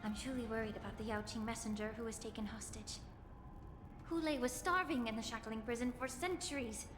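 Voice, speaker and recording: Echoing as in a hall over prominent music, a gentle fantasy game lady, acted by a woman speaks. Her voice is fairly high, soft and earnest. She sounds solemn and formal. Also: a little fast, close to an everyday voice. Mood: worried, prayerful. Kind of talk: dramatic video game acting. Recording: echoey hall reverb under music.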